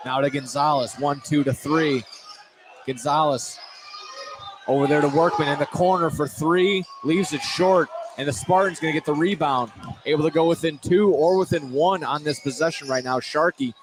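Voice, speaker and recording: A higher-pitched male play-by-play announcer calls live basketball for radio. He restarts and corrects himself as he goes, with clear gym noise and ball bounces behind him. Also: moderate pace. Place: indoor basketball gym, courtside vantage point. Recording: clearly noisy gym ambience, bouncing balls.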